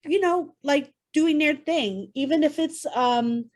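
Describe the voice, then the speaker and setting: Woman, podcast co-host, chatty, fillers, higher voice. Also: slow, a little mellow. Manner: chatty, with lots of filler words. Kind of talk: casual podcast chat.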